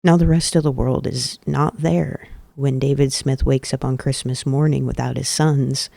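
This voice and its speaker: Male podcast host, earnest advocacy commentary, dark mellow tone, voice a bit off today. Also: medium pitch, fairly even intonation, gravelly, no echo, clean studio sound. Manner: earnest, emphatic, deliberate repetition. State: voice a little off today.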